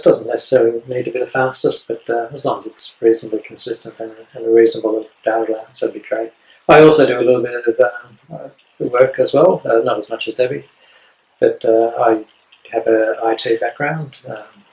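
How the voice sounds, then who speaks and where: An Australian man in his late fifties talking about house sitting, rambling with many pauses and dry jokes. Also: mid-range voice, roomy sound, rough recording. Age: 50s. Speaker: house sitter. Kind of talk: casual self-introduction video.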